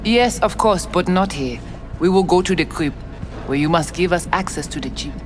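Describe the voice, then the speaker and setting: An actress playing a cool, commanding female hacker gang leader with a Haitian Creole accent and formal, measured speech in a video game. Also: lowish voice, animated, lightly acted. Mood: cool, calculating. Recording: music behind the voice.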